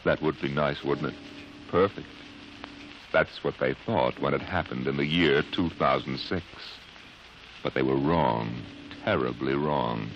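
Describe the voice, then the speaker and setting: A man hosting a 1950s radio series with theatrical, ominous, deliberate scripted narration. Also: rumbling low. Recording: muffled old radio recording, faint hiss.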